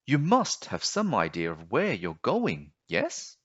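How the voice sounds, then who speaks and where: A man voicing a small chirpy bird in a storybook, gently questioning like a guide. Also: medium pitch, wildly swooping pitch, takes it slowly, husky, dull audio, pitched above the performer's own voice, full character voice. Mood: questioning, wise. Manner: chirping tweets, prodding questions. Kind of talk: storybook character voice.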